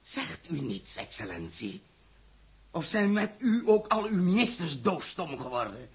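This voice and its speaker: Een acteur die in een hoorspel uit de jaren zestig een felle, verontwaardigde ruimtekapitein speelt, gepassioneerd en beschuldigend. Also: male voice, a little high, sing-song, unhurried, husky, heavily acted. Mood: urgent, demanding. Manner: impassioned, accusatory, rhetorical. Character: fiery, indignant male space captain confronting the government. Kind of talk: 1960s Dutch radio drama acting.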